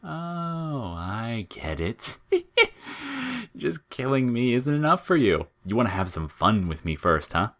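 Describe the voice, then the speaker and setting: A man's voice: medium pitch, huge pitch swings, takes it slowly, a bit bright, pitched above the performer's own voice, full character voice. A man voicing a spiteful, falsely chirpy talking flower in audio fiction, taunting and needling with whiny outrage and menace. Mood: mocking.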